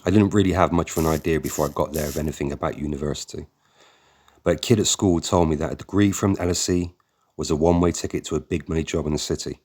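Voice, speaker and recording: A man reading a first-person memoir aloud as an audiobook narrator, in a steady, measured way. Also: very deep voice.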